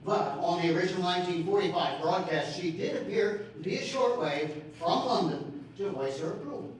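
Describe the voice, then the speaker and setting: Echoey high-voiced male presenter. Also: moderate pace, distant mic. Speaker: presenter of a live audio drama. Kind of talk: stage announcing to an audience. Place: public library event before an audience. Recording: very echoey, noisy room from off. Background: loud crowd noise.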